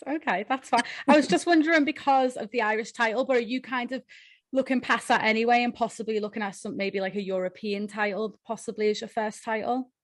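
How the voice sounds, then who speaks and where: A woman's voice: a little high, steady tone, brisk, no echo. Chatty woman interviewer, dry close sound. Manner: chatty, tag questions. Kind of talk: casual interview.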